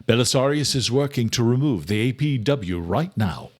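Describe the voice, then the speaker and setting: A man's voice: medium pitch, lively intonation, moderate pace, a little mellow, slightly rough. A man voicing a stern, formal vampire king who presides over a council in an audiobook. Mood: measured, formal. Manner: commanding, formal.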